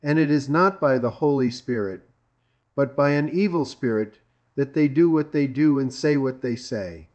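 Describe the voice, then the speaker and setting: A man's voice: mid-range voice, normal speed, darkish tone. Emphatic preacher, man from Greece, dull recording. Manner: emphatic, repetitive, with rhetorical questions. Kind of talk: sermon.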